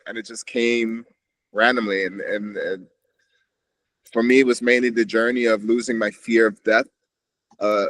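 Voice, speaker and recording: A man's voice: mid-range voice, a bit bright, no echo, rough recording. A man from Switzerland, a podcast guest reflecting in a meandering, casual way with frequent restarts. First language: Swiss German. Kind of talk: casual conversation.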